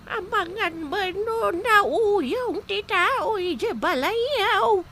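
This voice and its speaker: A woman's voice: extremely high pitch, animated, very slow, a bit bright, slight background noise, heavily acted. A woman playing a blunt, forgetful, suspicious old aunt in an audio drama, with an Ilocano accent and a pinched, querulous voice. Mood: suspicious, probing. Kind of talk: regional audio drama acting.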